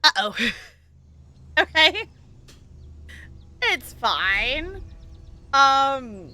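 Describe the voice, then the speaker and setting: A woman's voice: sits high, big pitch swings, extremely slow, pushed and bright, faint music behind. A woman streaming a video game live, commenting expressively and playfully and reading dialogue choices aloud.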